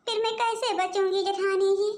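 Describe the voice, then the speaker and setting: Woman acting a very high-pitched frightened young village wife. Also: normal speed. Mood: frightened, pleading. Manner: pleading, repetitive, excitable. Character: frightened young village daughter-in-law in a cartoon. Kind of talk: Hindi animated comedy dialogue.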